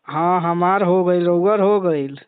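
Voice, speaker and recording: A man with a Bhojpuri accent in a casual phone chat, asking questions in leisurely, drawn-out phrases on a recorded call. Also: high-pitched, clean tone, no echo, narrow, tinny audio. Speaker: comedy channel owner interviewing a guest.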